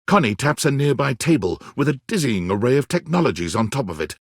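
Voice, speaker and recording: A male video game narrator with a slightly rough, husky voice and wide, lively swings in pitch. He reads in a theatrical, deliberate way, relishing each phrase like a storyteller. The sound is dry and close, free of room. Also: no echo. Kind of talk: dramatic scripted narration.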